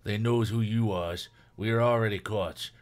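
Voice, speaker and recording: A man's voice: fairly low pitch, fairly even intonation, moderate pace, close to an everyday voice. A man voicing a volatile, snarling kidnapper with a knife, in an audiobook-style reading. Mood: angry, accusing. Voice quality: raspy.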